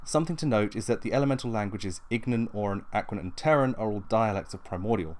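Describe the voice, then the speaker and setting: A man's voice: on the low side, darkish tone. A man hosting a tabletop gaming explainer, narrating in a matter-of-fact, instructional way from loosely scripted notes. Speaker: tabletop gaming video host.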